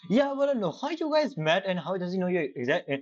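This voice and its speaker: A woman's voice: fairly low pitch, big pitch swings, fast, darkish tone, lo-fi sound. A woman giving casual reaction commentary as she plays a game, muttering short comments. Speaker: gamer playing through a story quest.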